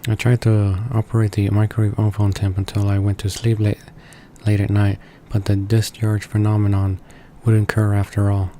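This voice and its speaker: Male let's play narrator, deep-ish and even in intonation, halting read-aloud delivery of game text. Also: a little hiss or hum. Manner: stumbles and rereads words while reading. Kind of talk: reading a visual novel aloud. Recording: voice-over with game music behind.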